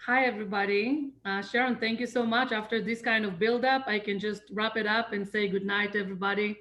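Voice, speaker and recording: A woman from Israel with an Israeli accent, giving an online talk as a visiting speaker, pausing often with fillers and restarts over a video call. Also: medium pitch, fairly even intonation, fairly bright tone. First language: Hebrew. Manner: hesitant, many fillers and restarts. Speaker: guest speaker, nonprofit emissary.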